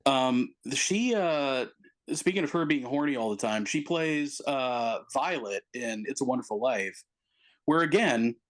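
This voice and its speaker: A man's voice: medium pitch, animated, measured pace. A man co-hosting a casual movie podcast, rambling with fillers and poking fun at himself. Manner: rambling, self-deprecating, many fillers. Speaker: podcast co-host.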